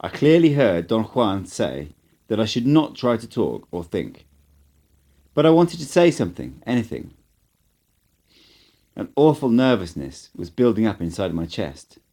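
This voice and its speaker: A man narrates a first-person tale in a lowish voice that swings widely in pitch. He reads as a hobby audiobook narrator, with an expressive, dramatic delivery. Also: a little slow, pauses often. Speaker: amateur audiobook reader.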